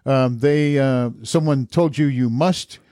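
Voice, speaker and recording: A man interviews guests casually as a podcast host. His voice is average in pitch and recorded dry, with no room sound around it. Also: takes it slowly, dark tone, no echo.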